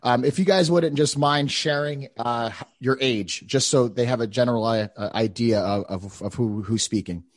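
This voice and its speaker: A man's voice: mid-range voice, normal speed, few pauses, no echo. A man in his late thirties hosting a podcast, talking intensely and swearing for emphasis. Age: 30s. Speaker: podcast host. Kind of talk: motivational podcast talk.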